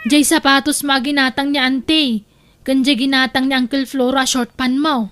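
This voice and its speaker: A woman acts out an inquisitive Ilocano cousin in an audio drama. The voice is high and chatty, gossipy yet sympathetic, and quick to question. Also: steady tone, fairly bright tone. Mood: gossipy surprise.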